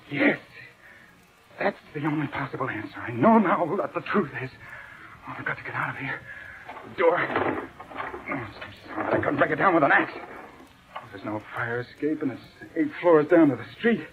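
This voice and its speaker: A man's voice: on the high side, lively intonation, moderate pace, pauses often, natural voice. Guy acting a panicky haunted man, old time radio mystery, earnest n overwrought, really tinny narrow sound. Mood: agitated, desperate. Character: anxious, haunted man driven frantic by suspicion. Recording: tinny vintage radio recording.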